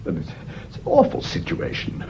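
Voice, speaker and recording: A man's voice: moderate pace, background noise, natural voice. A male actor playing an indignant, defensive widowed husband in a radio drama, speaking with an English accent in a clipped, insistent way. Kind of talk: British radio drama acting.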